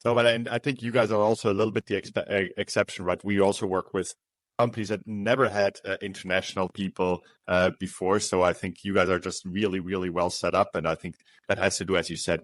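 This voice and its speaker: A man's voice: sits low, little pitch movement, fast talker, dry recording. A man interviewing a business partner, asking long, chatty questions full of "you know" and "um". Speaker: interviewer for recruitment agency.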